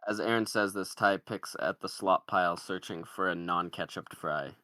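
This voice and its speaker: A man's voice: low voice, moderate pace, bright, forceful tone, dry recording. A man narrating the stage directions of a comedy screenplay in a scripted read.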